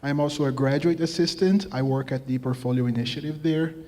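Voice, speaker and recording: A young man from Brazil with a Brazilian Portuguese accent, speaking as a graduate student panelist in relaxed, casual talk with frequent fillers. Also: mid-range voice, fast talker. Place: conference hall at a college. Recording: hall reverb.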